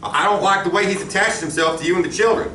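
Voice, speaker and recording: A man playing a brash, impatient, jealous boyfriend in a radio drama, with a brisk and pushy manner. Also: sits high, sharp tone. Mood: jealous, irritated. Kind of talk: live radio drama acting. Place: library community room, live performance. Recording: echoey room from far off, faint noise.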